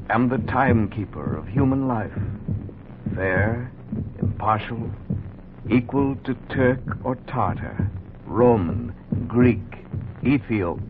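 A crackling, humming 1940s radio recording carries a low, slow voice. It is the human heart itself, ancient and solemn, declaiming its story in grand poetic style for a radio play. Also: male voice, phone-quality sound. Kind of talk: 1940s radio drama acting, poetic monologue.

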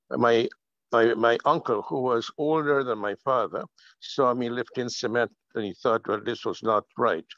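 An older man from Guyana telling his life story on a video call, slow and halting with many fillers. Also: medium pitch, fairly bright tone, no echo. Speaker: guest speaker. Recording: muffled video call audio.

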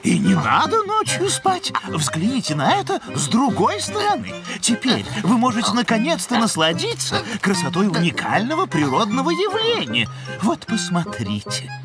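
An erudite cartoon moose, florid and theatrical. The voice leaps around wildly in pitch as the polite scholar enthuses, with loud music underneath. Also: male voice, wildly swooping pitch, moderate pace, exaggerated voice. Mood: persuasive. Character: excitable, erudite male moose scientist. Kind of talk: animated children's cartoon dialogue.

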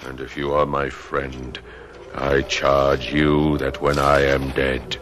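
An actor playing a cruel, vengeful middle-aged master of the house in a radio drama, menacing and commanding. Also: male voice, very deep voice, monotone, slow pace, background noise. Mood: obsessed, grim.

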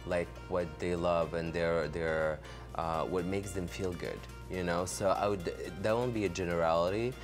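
A young man from Morocco, a fashion designer, answering interview questions in a relaxed studio chat full of filler words. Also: sits low, moderate pace, few pauses. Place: studio set, seated on a couch. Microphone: desk microphone on a stand. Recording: music playing underneath.

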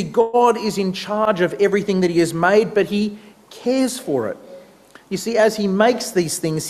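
An Australian man in his thirties preaches at a measured, unhurried pace, his voice sitting high. He speaks with animated emphasis into a stand mic. The hall around him rings with a long reverb. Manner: animated, big hand gestures while preaching. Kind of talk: sermon. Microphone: stand mic at a lectern.

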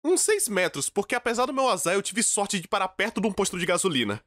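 A man with a Brazilian accent narrating a comedic animated story in a theatrical, exaggerated way. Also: quick pace, dry recording, soft background music. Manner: theatrical, exaggerated, swinging from low mutters to shrill outbursts.

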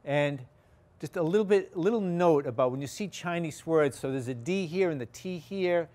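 A man's voice: a little high, normal speed, a little mellow. Older boston guy giving a campus talk, rambly, lots of um and restarts, big lecture hall. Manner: rambling storyteller, many restarts and fillers. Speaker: guest lecturer, acupuncturist. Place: large lecture hall with audience.

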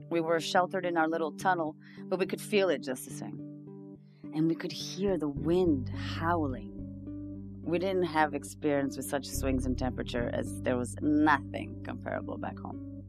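A low-pitched, weary woman confides her hardships like a conversation, in an audio-drama monologue. She sounds earnest and down on her luck, with guitar music clearly behind her. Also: moderate pace. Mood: reflective, rueful. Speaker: narrator-protagonist of an audio drama. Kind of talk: first-person dramatic monologue. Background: plucked guitar music.

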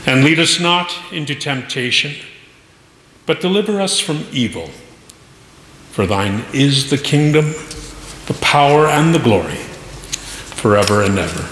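Middle aged male pastor praying and preaching, solemn w pauses, bright voice, big echoey church. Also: a little high, very animated. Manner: solemn, deliberate phrasing with pauses. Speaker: minister. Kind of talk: church prayer and sermon. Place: large church sanctuary, masked at pulpit. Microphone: pulpit microphone. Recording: echoey church hall, faint noise.

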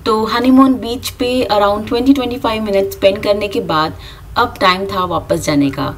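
A woman's voice: mid-range voice, slow, recorded from a distance, over music. A woman with an Indian accent giving travel advice in a vlog voice-over, mixing Hindi and English. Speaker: vlogger narrating.

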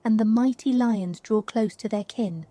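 A woman reading a scripted guided sleep meditation in a slow, soothing, hushed manner. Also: a little high, no echo. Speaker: meditation narrator. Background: music.

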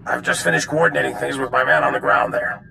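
A man playing a brisk, commanding alien general in a video game, gravelly and clipped in his delivery. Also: mid-range voice, steady tone, pushed and bright, slight background noise, heavily acted.

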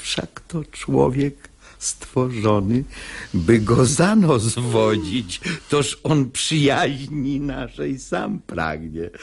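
An actor playing a sly, hypocritical pious seducer in a radio verse comedy, with an unctuous, persuasive theatrical delivery. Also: male voice, medium pitch, animated, extremely slow, vocal fry, slightly rough, exaggerated voice. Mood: confident scheming. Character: sly hypocritical pious seducer, smooth-talking male schemer. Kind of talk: classical stage comedy radio acting in verse.

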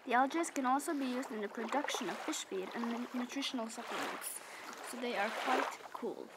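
A woman's voice: on the high side, a little fast, heavy background noise. A teenage young woman student reading scripted documentary narration. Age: teens. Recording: music bed under the voice. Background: music.

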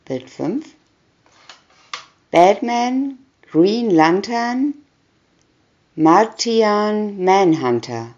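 Very slow-reading woman, lively intonation. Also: mid-range voice, dull audio. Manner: announces short captions one by one. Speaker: reader. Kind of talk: slow scripted reading of a children's picture booklet.

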